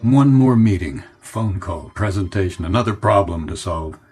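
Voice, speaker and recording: Weary, wistful low-voiced American man. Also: lively intonation, normal speed, a little mellow, breathy edge, faint music behind. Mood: wistful, restless. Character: weary, wistful ad executive fleeing city pressures. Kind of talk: radio drama acting.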